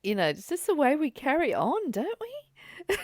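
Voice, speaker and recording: A woman's voice: very high voice, darkish tone, no room sound, studio quality. A woman co-hosting a spiritual study group, talking in a casual, reflective, conversational way.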